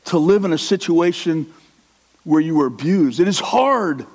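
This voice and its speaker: High-voiced man preaching a sermon. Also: expressive, moderate pace, a little mellow. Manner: impassioned, acts out the inner voice of a struggling believer. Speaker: pastor.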